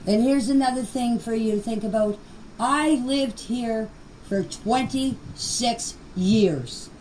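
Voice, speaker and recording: A woman's voice: on the high side, takes it slowly, darkish tone. An older woman in her sixties or beyond speaking plainly and bluntly in an unscripted personal voice message, with an emphatic manner. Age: 60s or older. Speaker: grandmother giving her personal account. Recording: distant, noisy amateur voice clip.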